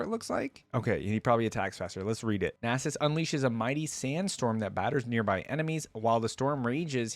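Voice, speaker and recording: A man hosting a reaction video, giving informal, excitable commentary and thinking aloud as he goes. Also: medium pitch, no echo. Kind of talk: casual reaction commentary with some reading aloud.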